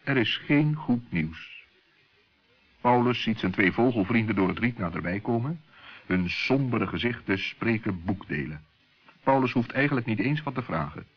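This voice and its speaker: A man narrating a children's radio story in an expressive storytelling style with a husky voice. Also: mid-range voice. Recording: muffled old radio play recording.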